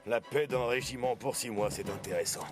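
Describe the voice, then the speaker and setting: A man playing a gruff, ruthless bandit henchman in a French cartoon. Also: medium pitch, brisk, over loud music, lightly acted. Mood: greedy, menacing. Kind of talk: French cartoon dub acting.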